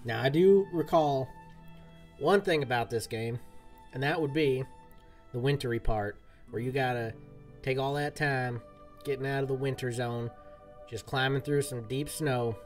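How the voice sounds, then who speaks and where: A man's voice: mid-range voice, unhurried, long pauses, soft background music. A man, chatting casually and folksily with his chat while streaming a game. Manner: folksy cowboy-style talk. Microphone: desk mic, game audio from a speaker.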